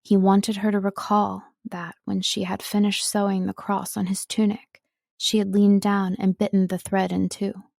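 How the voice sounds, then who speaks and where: A woman's voice: mid-range voice, flat delivery, moderate pace, creaky, darkish tone, dry recording. Dry, close-sounding woman reading an audiobook. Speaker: audiobook narrator, voice-over artist. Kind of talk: scripted audiobook narration.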